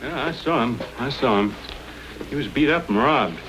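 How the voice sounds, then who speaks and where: A man's voice: medium pitch, lively intonation, normal speed, lightly acted. An actor playing a courteous but bold, strong-willed male frontier adventurer in an old-time radio western. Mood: curt, irritated. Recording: old radio recording with hiss.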